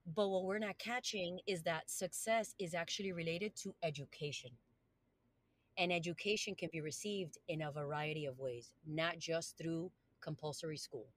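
A woman's voice: sits low, moderate pace, no room sound. A woman from Colombia whose first language is Spanish, speaking earnestly and encouragingly as a podcast interview guest.

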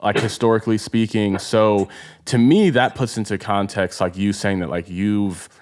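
Lowish-voiced man hosting a casual interview. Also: a little mellow. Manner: halting, circles around his questions, frequent affirmations. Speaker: podcast host, interviewer.

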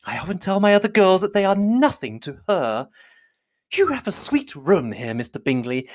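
Fussy middle-aged mother, acted by an audiobook reader, high swooping pitch, effusive, indignant, emphatic, period novel.

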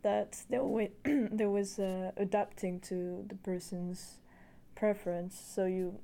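Flat, mellow-voiced woman interviewer. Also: mid-range voice, vocal fry. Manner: measured, searching for words. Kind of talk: casual conversation.

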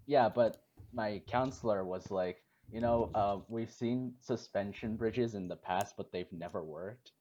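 Low-voiced young man chatting. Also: a little slow, hardly any pauses, highs cut off, a little hiss or hum. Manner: relaxed storytelling, laughs often. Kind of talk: casual group chat.

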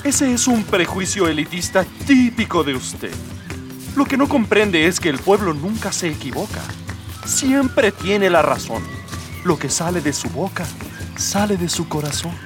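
A man's voice: high voice, animated, measured pace, far from the mic, music in the background. A man playing an idealistic, exasperated rebel prince in a radio drama, speaking earnestly and emphatically.

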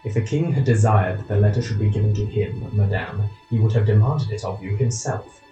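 An actor playing a coldly formal, insistent and menacing royal chancellor in an audio drama. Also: male voice, on the low side, a little fast, dark tone, lightly acted. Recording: echoey, slightly dull, music behind.

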